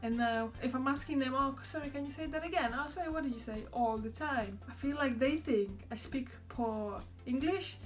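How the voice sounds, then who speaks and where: A young woman from Poland with a Polish accent, chatting to camera in a relaxed vlog and laughing often. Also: normal speed, few pauses, soft background music. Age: young adult. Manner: chatty, laughs often, quotes others in a higher voice. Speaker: vlogger. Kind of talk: casual chat to camera.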